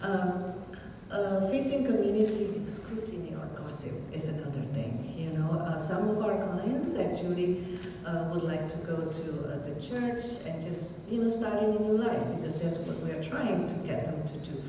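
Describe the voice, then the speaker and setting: A woman's voice: on the low side, normal speed, mellow, a little hiss or hum. A middle-aged woman, a native Indonesian speaker, gives an earnest talk as a shelter advocate, with many fillers and repeated phrases. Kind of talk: public talk. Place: large hall with an audience. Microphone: podium mic, recorded by a far camera. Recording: roomy hall reverb from far off.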